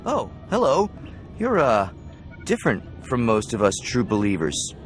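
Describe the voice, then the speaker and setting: An actor playing an excitable, awkward zealot in a video game, flustered and animated. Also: male voice, medium pitch, unhurried, faint music behind. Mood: excited, flustered.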